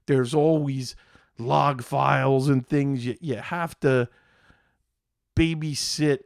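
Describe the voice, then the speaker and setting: A man hosting a tech show, talking casually and unscripted as an IT technician, often pausing mid-sentence and repeating phrases. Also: medium pitch, fairly even intonation, creaky, dry recording, clean studio sound. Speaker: IT support technician.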